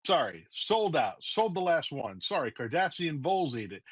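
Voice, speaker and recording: A man's voice: fairly high pitch, very animated, moderate pace, slightly rough, no echo, lo-fi sound, pitched above the performer's own voice. A man voicing a scheming, nervous male alien shopkeeper making fawning excuses. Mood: evasive.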